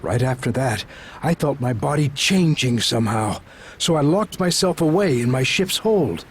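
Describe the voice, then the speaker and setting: A man's voice: medium pitch, expressive, normal speed, slight background noise, natural voice. An actor playing an earnest, hesitant middle-aged town doctor and worried father in a video game cutscene. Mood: troubled, earnest.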